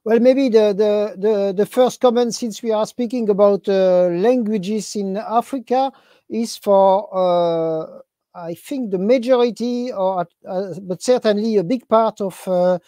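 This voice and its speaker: A man's voice: high-pitched, a little slow, warm tone, no echo. An older man co-moderating on a video call, speaking hesitantly with many fillers, from a room full of bookshelves. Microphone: video call, earbuds.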